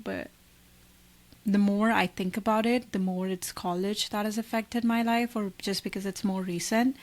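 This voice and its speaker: A woman in her twenties from India, co-hosting a podcast in casual chat, thinking aloud with many likes and restarts. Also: mid-range voice. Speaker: podcast co-host.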